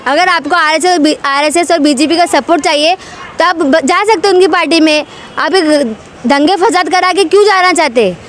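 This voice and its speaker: High-pitched, insistent woman making a political appeal. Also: normal speed, a little hiss or hum. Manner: insistent, admonishing, repetitive appeals. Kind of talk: impassioned unscripted political statement to camera.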